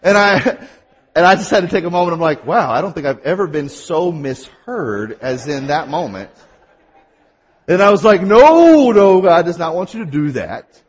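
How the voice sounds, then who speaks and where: A man with a Mississippi accent preaching a sermon, telling stories and at times talking fast. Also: on the high side, big pitch swings, breathy edge. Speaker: pastor.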